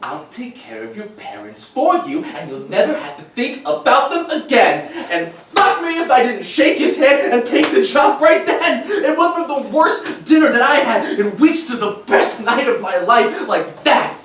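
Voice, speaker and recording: Man acting, naive young man, excitable, foul-mouthed, wide-swinging pitch, dramatic, distant in a very echoey noisy room. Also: normal speed, gravelly, hardly any pauses, exaggerated voice. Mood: animated storytelling. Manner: rambling, swearing, hesitant.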